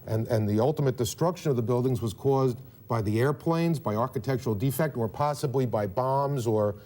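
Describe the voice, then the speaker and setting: An American man works as a live news interviewer with a dark, relaxed tone. He puts his questions haltingly and deliberately, often repeating words as he builds a sentence. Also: medium pitch.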